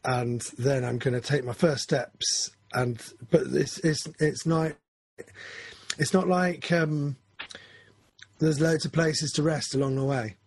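Guy in his forties, kinda husky, talks in loops and restarts, dead dry recording. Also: medium pitch, fairly even intonation, slow pace, no room sound. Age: 40s. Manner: rambling, self-correcting, earnest. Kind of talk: casual chat.

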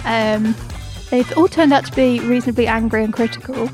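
A woman comedian with a fairly high voice answers questions casually. She is giggly and self-deprecating, and music plays clearly behind her. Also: steady tone, moderate pace. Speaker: stand-up comedian guest. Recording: music bed under the voice.

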